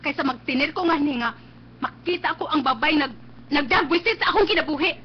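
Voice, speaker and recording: An actress playing a defiant, hot-tempered young daughter in a Philippine radio drama, snappish and scornful, with a Cebuano accent. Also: female voice, sits high, a little fast, natural voice. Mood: angry, defiant. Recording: dull lo-fi webcast with noise.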